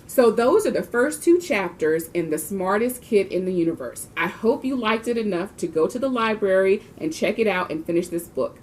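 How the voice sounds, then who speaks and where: A woman's voice: mid-range voice, expressive, moderate pace, few pauses, a little hiss or hum. A woman reading a children's book aloud expressively, with the occasional small stumble. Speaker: volunteer reader of a children's book. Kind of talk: storybook read-aloud.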